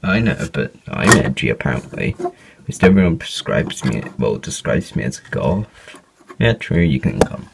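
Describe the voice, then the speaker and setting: A man's voice: very deep voice, very animated, normal speed, gravelly. A young man voicing an amateur toy train story, talking in a casual, offhand way with internet slang. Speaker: toy train video narrator. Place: small indoor room. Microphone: phone camera held close. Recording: loud background noise, home phone recording. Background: loud noise and clicks.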